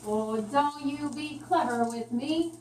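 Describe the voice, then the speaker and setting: A sly, high-voiced rat salesman in a puppet show, played by a woman, wheedles in slow, folksy scraps of patter. The sound is roomy and distant. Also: a little mellow. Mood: taunting. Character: sly male rat map seller, pushy and folksy. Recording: roomy, distant mic, faint noise.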